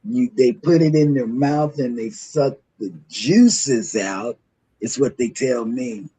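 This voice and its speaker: A man hosting a morning show in casual, rambling chat, with a throaty, hoarse voice from a sore throat. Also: mid-range voice, takes it slowly, warm tone, poor audio.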